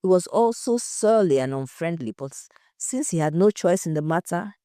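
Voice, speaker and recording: A woman's voice: lowish voice, lively intonation, moderate pace, mellow, no room sound, clean studio sound. A woman narrating a scripted story.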